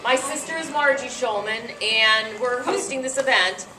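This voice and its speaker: A middle-aged American woman with a loud voice, hosting an event and giving an informal, chatty talk to a gathering of guests. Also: a little high, unhurried. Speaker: event host and board director. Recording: echoey room from far off, faint music.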